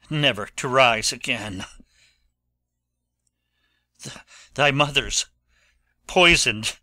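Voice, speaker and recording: A man playing a sharp-voiced, intense young nobleman. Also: medium pitch, no echo, exaggerated voice. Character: Laertes, proud vengeful young nobleman. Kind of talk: dramatic Shakespeare audiobook reading.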